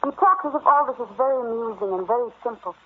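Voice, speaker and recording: Anxious explorer wife, high-pitched, earnest, old-time radio drama, tinny vintage recording. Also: female voice, lively intonation, fast talker. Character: serious, frightened explorer wife, earnest and pleading.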